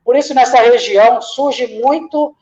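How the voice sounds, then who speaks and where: Man, very high voice, lay missionary, reflective church talk, hesitant, dull lo-fi sound. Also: normal speed, darkish tone, slight background noise. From Minas Gerais, Brazil. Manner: speaks in repeated parallel examples, many hesitations.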